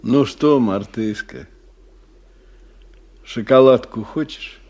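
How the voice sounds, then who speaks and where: An actor playing a kindly, awkward family friend in a radio drama, coaxing and hesitant, eager to help. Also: male voice, medium pitch, takes it slowly, darkish tone. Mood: gentle. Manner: coaxing, hesitant, repeats words.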